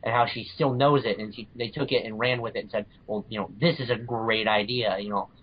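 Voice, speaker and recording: A man's voice: on the low side, fairly even intonation, fairly quick, husky. A man co-hosting a podcast, giving an earnest, casual review and repeating words as he thinks. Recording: dull lo-fi podcast audio.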